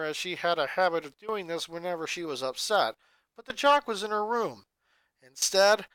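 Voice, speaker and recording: A story reader narrates fan fiction with dramatic expression. The delivery is animated, the pitch rising and falling freely. It is recorded close on a home microphone, with no room echo.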